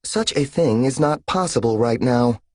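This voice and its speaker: A man reading an audiobook, voicing a frail older mother whose words come through a voice modulator, calm, even and pleasant. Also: medium pitch, normal speed, no room sound, clean studio sound, voice pushed up, close to an everyday voice.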